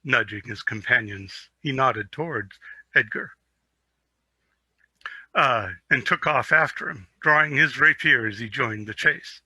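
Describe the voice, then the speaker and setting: A man narrates a fantasy tale with theatrical expression. His voice is very bright and forceful, and the sound is close and dry. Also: medium pitch, measured pace, slightly rough, no room sound. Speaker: storyteller. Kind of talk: dramatic reading of a fantasy story.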